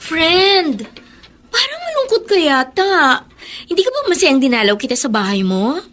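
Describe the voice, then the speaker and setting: A caring young best friend in a radio drama, bubbly and exclamatory. Her voice is very high and lilts up and down with lively swings. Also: normal speed, faint music behind, heavily acted. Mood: chatty, caring. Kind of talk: Filipino radio drama acting.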